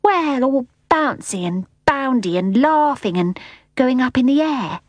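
A woman voicing an earnest, excitable young boy in a storybook, with a plaintive manner. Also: high voice, a little slow, no echo, voice pushed up.

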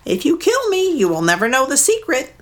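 A woman's voice: high voice, huge pitch swings, brisk, pitched above the performer's own voice. A woman voicing a frightened, sly female mud hen bird in a storybook tale. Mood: pleading shrill.

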